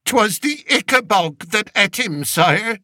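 A man's voice: sits high, animated, slow, bright, forceful tone, dry recording, clean studio sound, pitched above the performer's own voice, full character voice. A man voicing a frightened, tearful old male shepherd who speaks in a rustic dialect and wheezes. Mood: pleading, tearful.

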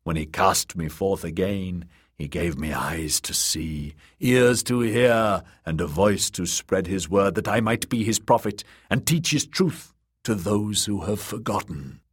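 A man's voice: deep voice, a little slow, husky. A man voicing a bony, fervent priest-prophet, solemn and commanding, preaching in a fantasy audiobook. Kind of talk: dramatised audiobook character voice.

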